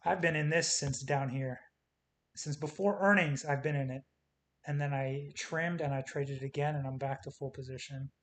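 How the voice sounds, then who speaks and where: A man talking casually through stock charts in short, clipped calls, his voice going hoarse as he says he is losing it. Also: fairly high pitch, steady tone, normal speed. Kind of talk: casual screen-share chart commentary. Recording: faint hiss.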